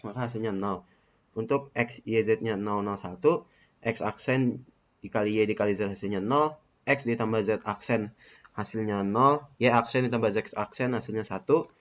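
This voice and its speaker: A man's voice: fairly low pitch, slight background noise. A young man, a student, reading out truth-table results step by step in a methodical way with hesitant fillers. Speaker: student presenting a case study. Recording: muffled lo-fi recording.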